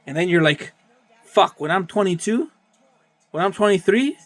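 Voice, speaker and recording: A young man talks in a loose, rambling monologue, swearing freely and circling back over the same phrases. His voice sits a little high for a man. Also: expressive, moderate pace. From Canada. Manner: profane, rambling, repeats himself. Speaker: vlogger. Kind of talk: casual monologue.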